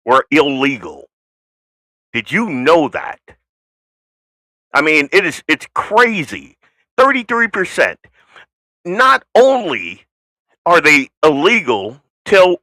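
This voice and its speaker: A man with a flattened American accent and Southern roots, hosting a political talk show in an unscripted, blunt, opinionated, folksy way with rambling asides. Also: medium pitch, dry recording, low-quality recording. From United States.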